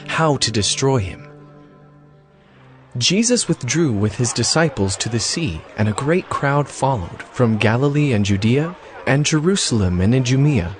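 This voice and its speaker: A man narrating scripture as a solemn story, with clear phrasing, in a dramatized audio reading. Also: mid-range voice. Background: music.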